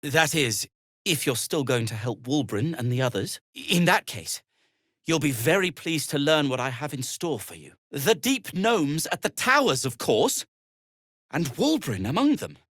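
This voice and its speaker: Fussy dry gnome tinkerer for a video game, kinda formal and worried, pitch moves around a lot, super close dry recording. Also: male voice, very animated, measured pace, fairly bright tone, no room sound, exaggerated voice. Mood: dry, hopeful. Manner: dry, wordy, formal, hesitant asides. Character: male deep gnome tinkerer, earnest, dry, worried curmudgeon. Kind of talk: video game character dialogue.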